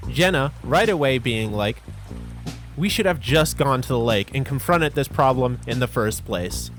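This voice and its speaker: A man's voice: medium pitch, expressive, moderate pace. A man giving a scripted, informal story recap with wry, joking asides. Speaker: video narrator recapping a visual novel. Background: music under the voice.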